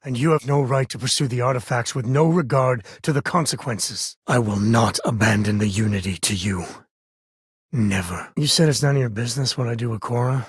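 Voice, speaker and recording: Husky, wry spacefaring ranger. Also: male voice, mid-range voice, brisk, no room sound, clean studio sound. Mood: stern, grave, then wry, conversational. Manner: folksy, wry, casual drawl of words. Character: roguish spacefaring frontier ranger, protective father with wry humor. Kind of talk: video game voice acting, short dialogue lines.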